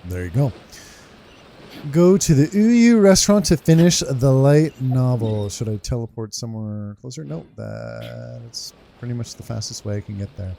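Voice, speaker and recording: A man's voice: mid-range voice, animated, moderate pace, vocal fry, slight background noise. A man giving casual playthrough commentary as a game streamer, rambling and thinking aloud. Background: soft game music.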